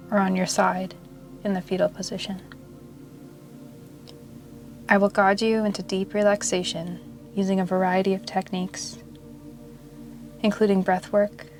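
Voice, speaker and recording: Even-toned woman guiding meditation over music. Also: mid-range voice. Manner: slow, soothing, measured delivery with long pauses. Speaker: yoga nidra meditation guide. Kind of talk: guided meditation narration.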